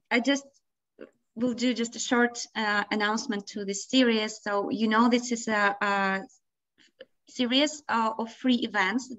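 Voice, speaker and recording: A young woman opens an online session over a video call, her voice on the higher side. The call audio sounds dull and lo-fi, and she talks in a friendly, hesitant way with many fillers. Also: pushed and bright. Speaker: webinar moderator. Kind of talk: casual hosting.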